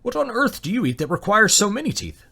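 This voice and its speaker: A man's voice: high-pitched, big pitch swings, fast talker, gravelly, bright, forceful tone, breathy edge, voice pushed up, heavily acted. A man voicing a humble, kindly but nervous farmer in a fantasy story, earnest and tentative. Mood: curious, uneasy.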